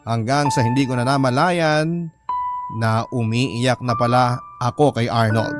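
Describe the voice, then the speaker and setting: A man reading an aggrieved sibling's letter as dramatic narration, measured and emotive, with a Filipino accent. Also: mid-range voice, steady tone, natural voice. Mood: sorrowful. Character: aggrieved elder sibling telling their story by letter. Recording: prominent music under narration.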